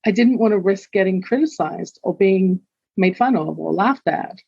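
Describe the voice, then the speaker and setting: A woman's voice: medium pitch, lively intonation, moderate pace, gravelly, dark tone, slightly rough, no room sound, muffled recording. An older woman with a London accent, a podcast guest telling her life story in an earnest, reflective way. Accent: London English. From England. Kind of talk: candid personal storytelling.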